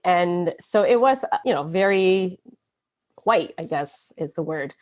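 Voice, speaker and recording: Low-pitched American woman, casual interview guest. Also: lively intonation, measured pace. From born in Taiwan, grew up in the United States from age seven. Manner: informal, frequent "like" and "you know".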